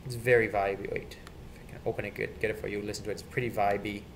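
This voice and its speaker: A man's voice: on the low side, little pitch movement, rapid-fire. A man rambling casually and opinionatedly in an off-the-cuff video rant, often repeating himself. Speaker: video creator. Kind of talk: casual off-the-cuff rant about children's TV theme songs. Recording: some background noise, amateur home recording.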